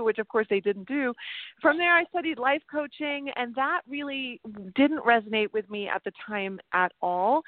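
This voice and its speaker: Lively middle-aged woman, tinny call audio. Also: high-pitched, no echo. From Germany. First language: German. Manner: animated, laughs often, acts out quoted voices. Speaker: guest, energy healer. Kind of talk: casual interview storytelling.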